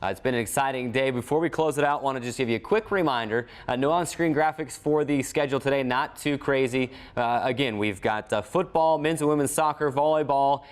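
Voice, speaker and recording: A man's voice: mid-range voice, fairly quick, few pauses, smooth. A man with an American accent hosting a college sports recap show. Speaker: sports show host and play-by-play announcer.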